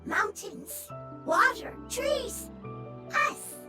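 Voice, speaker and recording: Tiny halting fungus creature. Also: female voice, very high-pitched, expressive, exaggerated voice. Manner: halting, few words, struggles with language. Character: small fungus creature, timid, broken speech. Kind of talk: video game voice acting. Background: game music.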